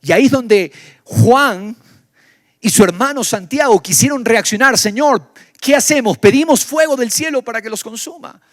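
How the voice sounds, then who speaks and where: A man from Ecuador preaching a sermon in Ecuadorian Spanish, moving between loud exclamations and low, quiet stretches. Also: moderate pace, no room sound, clean studio sound. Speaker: pastor. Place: church.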